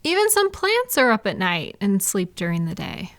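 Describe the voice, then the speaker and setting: A woman playing a supportive, gentle female friend in an intimate, casual comfort roleplay, speaking in a reassuring, validating way. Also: mid-range voice, wildly swooping pitch, normal speed. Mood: mildly indignant. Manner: reassuring, validating, softly indignant on listener's behalf. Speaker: audio roleplay performer.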